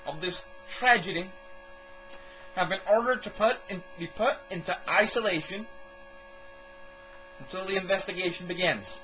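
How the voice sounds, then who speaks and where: A man playing an officious army captain giving formal, measured briefings in an amateur audio drama. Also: sits high, pushed and bright, rough recording.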